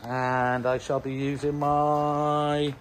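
A man's voice: a little high, flat delivery, slow, slight background noise. A Welsh man in his fifties, a landscaper explaining his patio work in a chatty, self-mocking way, recorded outdoors on a handheld phone. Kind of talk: casual how-to vlog. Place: outdoor garden beside a house. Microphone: handheld phone, later a plugged-in mic.

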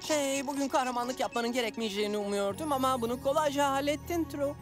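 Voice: sits high, fast, natural voice. An actor voicing a fussy talking pet cat, a chatty and dramatic sidekick in a children's cartoon dub. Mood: relieved. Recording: music under the voice.

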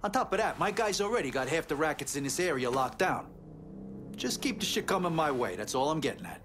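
A cocky middle-aged American mafioso in a crime game. He wheedles in a slangy, fairly high voice over faint noise. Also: sing-song, a little fast, a bit bright. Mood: pushy. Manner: slangy, pushy.

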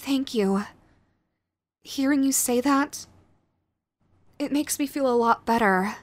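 A woman playing a sweet, clingy yandere girlfriend in an audio roleplay, nervous and halting, gushing and apologetic as she tries to reform. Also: sits high, no room sound. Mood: remorseful, nervous. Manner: halting, gushing, apologetic, swinging between eager and sheepish. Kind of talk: romantic audio roleplay, acted to the listener.

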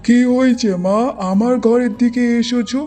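A woman voicing a gentle, patient old male hermit in a fairy-tale cartoon, speaking softly and moralising like a father. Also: fairly high pitch, steady tone, moderate pace, close to an everyday voice. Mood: kindly.

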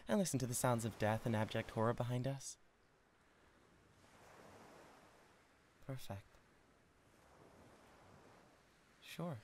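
A man's voice: mid-range voice, gravelly, a little mellow, slight background noise. A man voicing a lovestruck, awkward devil king of Hell in an intimate ASMR roleplay, hesitant and stammering. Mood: tender, shy. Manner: hesitant, stammering, swinging from tender to giddy. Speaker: audio roleplay voice actor.

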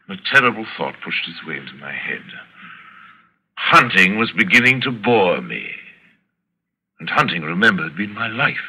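An older aristocratic big-game hunter in a vintage radio thriller. His low voice swoops widely and cuts through with a sharp, forceful edge. He is suave, deliberate and chilling, relishing every phrase. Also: heavily acted, low-quality recording. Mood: brooding, confiding. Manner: suave, deliberate, theatrical, savoring words. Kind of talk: 1940s radio acting. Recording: vintage radio drama broadcast recording.

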